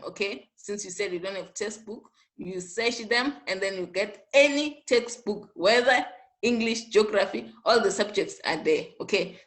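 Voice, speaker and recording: A woman's voice: mid-range voice, a bit bright. A woman teaching an online class, coaxing and chatty, often checking in with "okay?".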